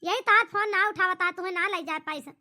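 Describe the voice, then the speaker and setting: A woman's voice: very high voice, a little fast, pushed and bright, full character voice. A woman voicing a weary older village mother-in-law in a cartoon, exasperated and pleading, with an Awadhi accent. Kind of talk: rustic cartoon comedy dialogue.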